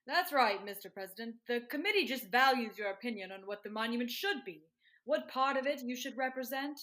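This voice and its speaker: A woman's voice: fairly high pitch, lively intonation, fairly quick, fairly bright tone. A woman playing an earnest, polite male committee adviser in a radio comedy sketch, speaking formally and tactfully. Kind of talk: old-time radio sketch comedy parody.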